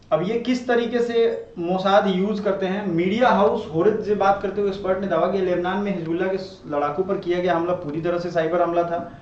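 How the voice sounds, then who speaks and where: High-voiced Indian man lecturing in an echoey room. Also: darkish tone. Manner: conversational, checks understanding with rhetorical tags. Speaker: online teacher. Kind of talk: informal explanatory current affairs lecture. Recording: muffled, roomy, distant mic, faint noise.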